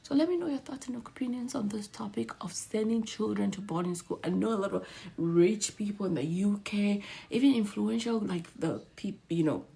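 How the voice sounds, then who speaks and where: A woman with a gravelly, fry-tinged voice at a middle pitch. She speaks as a provider giving casual, earnest advice. She repeats her points and slips into half-whispered asides. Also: darkish tone. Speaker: mental health provider.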